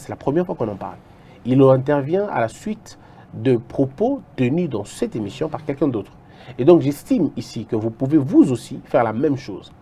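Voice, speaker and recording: Un homme originaire du Congo qui anime une interview et pose ses questions avec insistance. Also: male voice, mid-range voice, mellow, slightly rough. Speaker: interview host. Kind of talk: studio interview.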